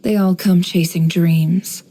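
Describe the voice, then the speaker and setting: Mellow, wry, knowing woman spymaster. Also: fairly low pitch, fairly even intonation, slightly rough. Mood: self-assured, composed. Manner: composed, wry, knowing, measured. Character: shrewd, worldly woman who runs an intelligence business. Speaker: video game character voice actor. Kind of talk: scripted game character voice lines, monologue.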